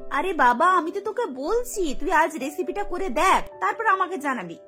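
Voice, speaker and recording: Woman playing confident cartoon girlfriend next door, young, coaxing, breezy, high bright voice. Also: big pitch swings, moderate pace, soft background music, full character voice. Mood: confident, reassuring. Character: confident, reassuring young female friend. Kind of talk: Bengali cartoon voice acting.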